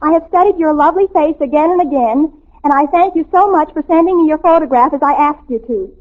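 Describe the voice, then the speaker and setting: A woman's voice: high voice, fast talker, lightly acted. A woman playing a dramatic, starstruck, self-important American teenage girl in a 1940s radio sitcom, gushing and theatrical. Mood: gushing, excited. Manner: gushing, theatrical, grandly affronted. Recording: tinny old radio recording.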